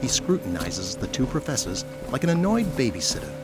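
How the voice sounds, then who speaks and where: A man's voice: mid-range voice, very animated, a little fast, over music. A man reading scripted narration for an audio drama.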